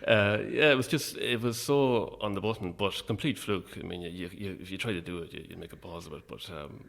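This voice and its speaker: A man's voice: sits low, a little fast, creaky, fairly bright tone. An Irish man chatting casually as an interview guest, a little hesitant and self-effacing. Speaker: guest, playwright.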